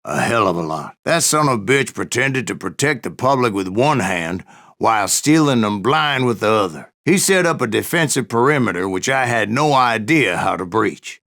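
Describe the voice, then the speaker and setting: A grizzled old American bounty hunter from a Western video game. His voice is heavy with gravel and fry, sitting at a middling pitch. He spins his own story in a folksy, wry, dramatic storytelling manner. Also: fairly bright tone. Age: older. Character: grizzled old Wild West bounty hunter spinning tales. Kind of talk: dramatic first-person game narration.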